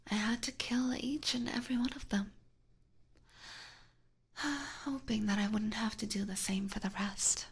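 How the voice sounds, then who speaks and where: A slow, breathy whisper from a woman playing a seductive vampire catgirl. She is possessive and sweetly menacing, teasing her listener at very close range in an ASMR roleplay. There is a soft, gravelly creak in her delivery. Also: medium pitch, steady tone. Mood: sweetly threatening. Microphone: close binaural microphone.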